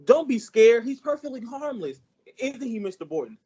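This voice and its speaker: A man's voice: extremely high pitch, lively intonation, moderate pace, muffled recording, very noisy. A man playing a naive, good-natured teenage schoolboy, earnest and polite, in an old-time radio comedy. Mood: reassuring.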